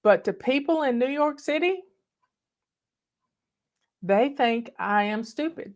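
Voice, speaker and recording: A woman's voice: high voice, lively intonation, takes it slowly, a little mellow. Folksy older Southern woman telling stories. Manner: folksy, chatty, bossy. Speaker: online host. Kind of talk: casual storytelling chat. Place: home in the mountains.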